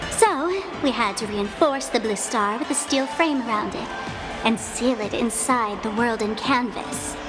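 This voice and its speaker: A cheerful, mischievous young tavern host from a video game, voiced by a woman. She talks in a bubbly, showy, teasing way with a high, bright voice. Game music plays clearly behind her. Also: unhurried, lightly acted, poor audio.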